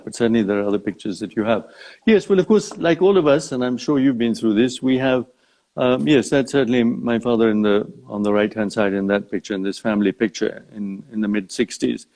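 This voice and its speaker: An older man from Uganda speaking as an interview guest in a live online chat, thoughtful and halting as he phrases things. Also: medium pitch, darkish tone, low-quality recording. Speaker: interview guest, safari company founder. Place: live social media video chat.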